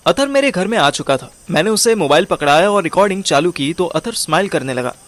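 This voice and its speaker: Man acting wry higher-pitched male detective storyteller. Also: expressive, a little fast, fairly bright tone, no room sound. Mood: wry narrating. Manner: wry, colloquial. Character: overweight, scheming male amateur detective telling his story. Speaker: narrator and lead character. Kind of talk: Hindi audio drama narration and dialogue.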